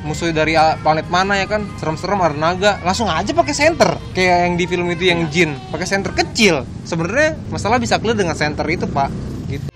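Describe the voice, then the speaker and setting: A man's voice: high-pitched, expressive, fast talker. A young man, hosting a vlog in casual, nostalgic chat. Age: young adult. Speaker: YouTube vlog host. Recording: background music behind the voice.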